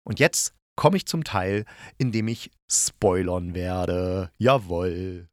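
A man's voice: low-pitched, takes it slowly, dry recording. Casual male podcaster in a dry-sounding recording. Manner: loose, meandering, thinking aloud. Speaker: podcast host and reviewer. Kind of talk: casual spoken radio-play review.

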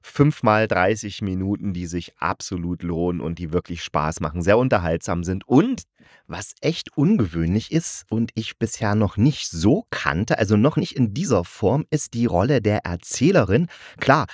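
A man's voice: on the low side, animated, normal speed, no room sound, studio quality. A man hosting a solo podcast, reviewing in a casual, chatty way with enthusiasm and rambling asides. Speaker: podcast host reviewing audio dramas.

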